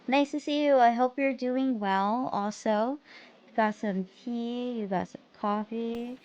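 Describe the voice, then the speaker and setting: A woman with a high-pitched voice hosts a livestream. She talks casually to her viewers and co-reader while playing through a story game. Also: unhurried, darkish tone, dull audio. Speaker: livestream host. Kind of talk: casual stream chat between readthrough lines.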